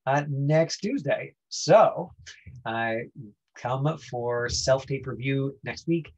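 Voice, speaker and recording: A male acting teacher with a husky, mid-pitched voice talks informally to his online class. He explains things haltingly, thinking as he goes. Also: animated, darkish tone, lo-fi sound. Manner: halting, explanatory, frequent 'you know'. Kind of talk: informal lesson talk.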